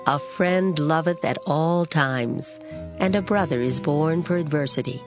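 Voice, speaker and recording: A woman narrating scripture in a dramatized King James style, with solemn, measured, deliberate phrasing. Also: low-pitched. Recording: music under the voice. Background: background music.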